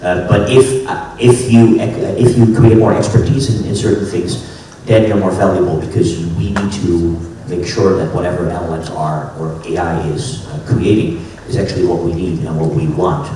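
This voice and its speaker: A man on a conference panel, giving advice in a thoughtful, halting way. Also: deep voice, steady tone, warm tone, a little hiss or hum. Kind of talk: panel discussion. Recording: very echoey hall, distant mic, faint ambience.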